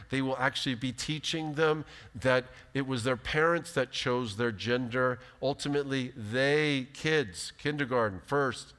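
Animated man preaching in a reverberant hall. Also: mid-range voice. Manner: animated, emphatic preaching with big gestures. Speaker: pastor. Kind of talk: sermon. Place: large church stage, indoors.

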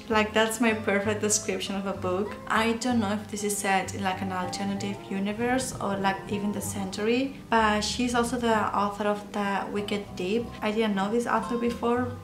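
A young woman from Spain with a Spanish accent, chatting casually about books on camera in a small room at home. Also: medium pitch. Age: young adult. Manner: chatty, gestures a lot with her hands. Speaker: book vlogger. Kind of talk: casual chat. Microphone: camera or laptop mic facing her. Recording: roomy echo with music underneath.

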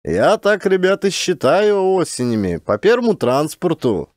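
Guy doing cheeky peasant coachman for an audiobook, folksy teasing drawl, voice pushed up high and swingy. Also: big pitch swings, measured pace, darkish tone, dry recording, studio quality. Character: mocking, jokey village coachman, reckless prankster. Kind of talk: Russian audiobook character acting.

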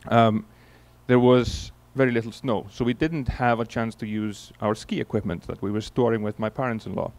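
A man in his forties from Iceland with an Icelandic accent, giving a relaxed conference keynote into a handheld mic, telling stories with many fillers and restarts. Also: mid-range voice, moderate pace, hardly any pauses. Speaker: keynote speaker. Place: large conference hall on stage. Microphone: handheld microphone.

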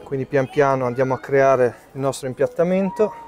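A man in his thirties, a chef giving a live cooking demonstration under a tent in a park, explaining each step as he works, with fillers and pauses, recorded by a camera at a distance. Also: mid-range voice, a little mellow, faint music behind. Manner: explanatory, thinking aloud with fillers while working. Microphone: far camera.

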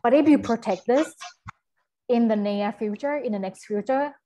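A woman's voice: fairly high pitch, dark tone, muffled recording, slight background noise. A woman in her thirties with a Thai accent, explaining conversationally on a video call through an earphone mic, often searching for words. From Thailand. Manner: halting, searching for words, frequent "like" and "you know". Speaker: guest speaker. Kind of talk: conversational explanation in an online session. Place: small indoor room, video call. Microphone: wired earphone mic on a video call.

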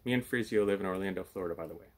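A man's voice: deep voice, rapid-fire. A man in his thirties chatting casually to camera at home as host of a puppet show. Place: small room at home, indoors. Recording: faint background noise, home camera mic.

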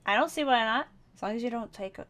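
A woman's voice: a little high, fast talker, a bit bright, natural voice. A woman playing a blunt, curious young survivor in an improvised game roleplay, talking casually.

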